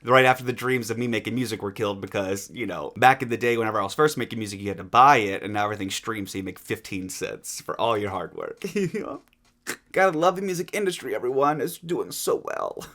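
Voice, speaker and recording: A man's voice: fairly low pitch, quick pace, fairly bright tone. A man giving casual, emphatic reaction commentary, stretching words for effect and swearing freely. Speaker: reaction video host.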